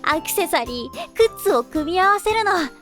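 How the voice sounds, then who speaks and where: A woman playing a lively teenage schoolgirl idol in an anime-style voice drama, self-assured, feminine and playfully scolding. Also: very high voice, normal speed, faint music behind. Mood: persuasive. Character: lively teenage schoolgirl pop idol, sociable, teasing friend.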